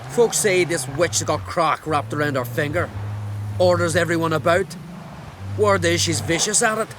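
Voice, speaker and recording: Man playing blunt, higher-voiced island villager. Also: normal speed, noisy background, close to an everyday voice. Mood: gossipy, wry. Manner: blunt, folksy. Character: plain-spoken, crude male island villager, gossipy. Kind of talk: video game voice acting.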